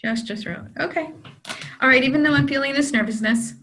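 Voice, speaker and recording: A woman practitioner guiding a client through an EFT tapping session, giving calm phrases for her to repeat. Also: high voice, brisk, a little hiss or hum.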